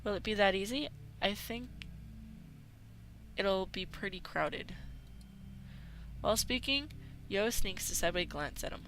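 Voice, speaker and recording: A woman's voice: mid-range voice, lively intonation, a little slow, long pauses, fairly bright tone, slight background noise. A woman reading a visual novel script aloud as a let's player, sometimes stumbling over her words. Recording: music playing behind the voice. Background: game music.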